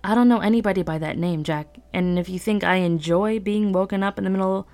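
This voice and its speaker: A woman voicing a brusque adult woman roused by a late-night phone call, curt and suspicious, with a raised pitch. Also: brisk, close to an everyday voice. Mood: suspicious, snappish. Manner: sharp and suspicious, then sweetly friendly. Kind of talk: audiobook character voice.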